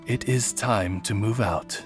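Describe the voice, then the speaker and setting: A man voicing a courtly raven familiar in a video game, a devoted servant with a dignified, formal and measured delivery. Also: lowish voice, faint music behind.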